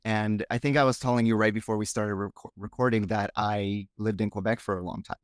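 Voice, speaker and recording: A man's voice: lowish voice, fairly even intonation, fairly quick, clean tone, dry recording, studio quality. A man from Romania, whose first language is Romanian, speaking as a podcast guest in a frank, reflective, casual interview chat. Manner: frank, reflective, thinks aloud.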